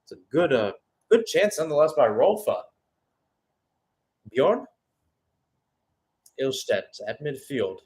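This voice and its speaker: A man doing live radio-style play-by-play football commentary, rising with excitement on chances and settling calmer between plays. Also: fairly high pitch, slow, pauses often.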